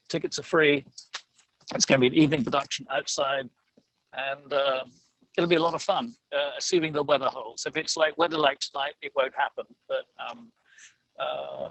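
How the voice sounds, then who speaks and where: A man in a casual interview, speaking in a hesitant, halting, self-deprecating way as an amateur actor. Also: mid-range voice, fairly even intonation, creaky, fairly bright tone, no room sound, rough recording.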